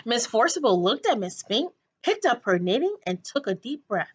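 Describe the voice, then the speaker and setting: A woman narrates a children's book as a teacher reading aloud. Her voice is fairly high and moves up and down with plenty of expression. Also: very animated, normal speed, slightly rough.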